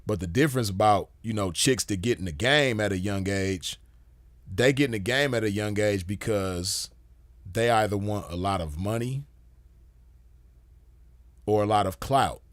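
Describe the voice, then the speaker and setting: A man's voice: lowish voice, normal speed, pauses often, no echo. A man giving a casual commentary monologue as host, laid-back and full of "you know" fillers. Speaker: podcast host.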